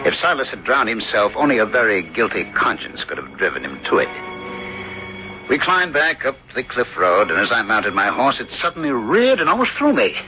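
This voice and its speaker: A man playing a sober, sceptical country doctor who narrates a ghost mystery in measured, earnest radio drama style. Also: mid-range voice, sing-song, pushed and bright. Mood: grave, brooding. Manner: measured, earnest, theatrical storytelling. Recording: dull vintage radio broadcast, music underneath.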